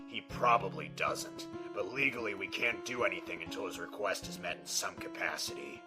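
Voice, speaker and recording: A man playing a bitter, hot-headed, foul-mouthed detective in a radio drama, seething in a gravelly, forceful voice. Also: low voice, moderate pace, music in the background, lightly acted. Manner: explosive, seething. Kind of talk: amateur radio drama acting.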